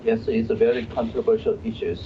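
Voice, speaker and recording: A man with a Korean accent giving expert answers in an interview, halting and often searching for words. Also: mid-range voice, sing-song. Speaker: law professor, guest expert. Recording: dull, noisy remote guest audio.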